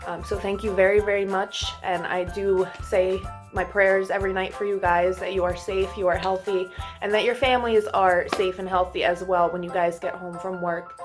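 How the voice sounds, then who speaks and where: A woman hosting children's storytime, expressive and affectionate, speaking simply as she would to young listeners. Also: medium pitch, normal speed, over music. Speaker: storytime host reading to children. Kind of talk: animated children's storybook reading and friendly address.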